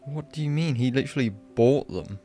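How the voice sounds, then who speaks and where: A man's voice: mid-range voice, brisk, warm tone, soft background music. A man giving casual live game commentary as a streamer, offhand and sardonic, swearing now and then.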